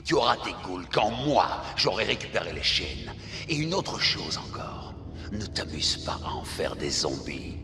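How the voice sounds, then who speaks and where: A man's voice: low-pitched, gravelly, full character voice. A man playing a cold, menacing cartoon villain mastermind, commanding and sinister, in a reverberant space. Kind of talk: animated series dubbing in French. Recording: echoey hall reverb with music.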